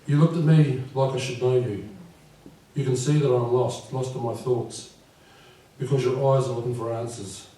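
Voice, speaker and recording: A man in his fifties with a croaky voice, reading his poems at a live event and speaking gently and unhurriedly. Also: mid-range voice, fairly even intonation, moderate pace. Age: 50s. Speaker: poet. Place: live venue with audience. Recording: echoey room from far off.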